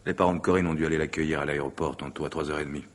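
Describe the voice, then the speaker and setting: A man's voice: extremely low pitch, fairly even intonation, very fast. A man playing an assertive, possessive lover in a French radio mystery drama, declamatory and commanding. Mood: relaxed. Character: assertive, possessive, theatrical male lover, self-styled conqueror.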